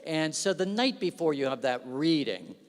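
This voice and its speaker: A man giving a humorous, self-deprecating speech at an awards ceremony, telling stories with lively asides into a stage microphone. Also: on the high side, moderate pace. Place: large event hall. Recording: long reverb of a large hall.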